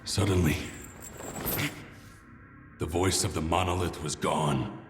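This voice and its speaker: An actor playing a grave, remorseful ex-soldier in a video game, narrating memories slowly and solemnly. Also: male voice, low voice, steady tone. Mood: solemn regret. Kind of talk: cinematic video game monologue. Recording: echoey, long reverb under loud music.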